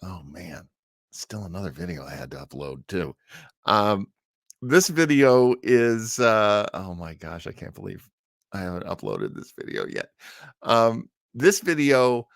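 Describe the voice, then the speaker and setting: A man's voice: medium pitch, fairly bright tone, no echo, studio quality. A man hosting a casual, chatty livestream about old radio shows, rambling and easily sidetracked as he talks. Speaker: livestream host of an old-time radio listening show.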